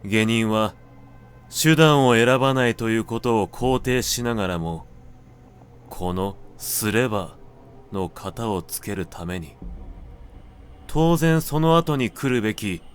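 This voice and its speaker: A man narrating a literary audiobook in a measured, deliberate manner with dramatic pauses. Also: fairly low pitch, clear, resonant tone. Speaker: narrator reading literature aloud.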